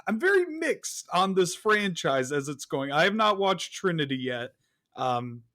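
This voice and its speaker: Male podcast guest, lighter higher voice, casual anecdotal chat. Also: sing-song, unhurried, bright, forceful tone.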